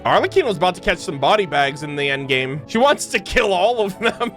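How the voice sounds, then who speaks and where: Young male gaming reactor, forceful bright voice, slangy and rambling, music underneath. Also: high-pitched, moderate pace. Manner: slangy, swearing, animated and rambling. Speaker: gaming reaction content creator. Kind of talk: excited off-the-cuff reaction commentary.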